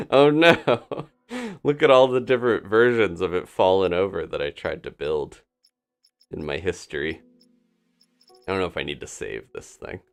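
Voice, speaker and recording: A man's voice: deep voice, expressive, unhurried, long pauses, faint music behind. A man chatting casually through a live-streamed game, wondering aloud and laughing often. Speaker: streamer playing a video game.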